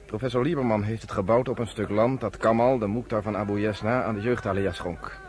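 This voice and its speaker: A man acting as an earnest young underground fighter in a radio drama. His voice sits fairly low, and he speaks with measured self-assurance, as if setting out the facts. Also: fairly even intonation, a little mellow, lightly acted. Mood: grave. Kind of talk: Dutch radio play acting.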